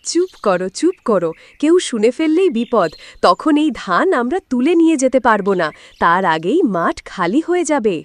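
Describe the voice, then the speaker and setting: A woman's voice: fairly high pitch, sing-song, moderate pace, no echo. A woman voicing a shrewd, bossy farmer's wife in a cartoon moral story, emphatic and scolding.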